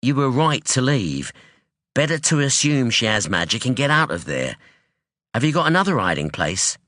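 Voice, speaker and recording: A man's voice: medium pitch, very animated, a bit bright, no echo, studio quality, voice pushed up. A man voicing a guarded, terse middle-aged father. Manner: evasive, measured, terse. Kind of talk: audiobook dialogue.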